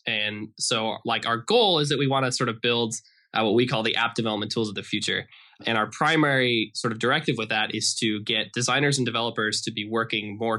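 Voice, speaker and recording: A man's voice: on the low side, pushed and bright. An American man explaining things casually as a guest in a conversational podcast interview, often saying "like". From Idaho, United States. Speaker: interview guest, software developer.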